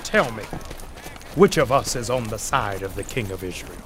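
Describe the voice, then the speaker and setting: A man's voice: fairly low pitch, lively intonation, normal speed, fairly bright tone, slight background noise, voice pushed up. A man doing an imperious, demanding king. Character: angry male king at war, demanding.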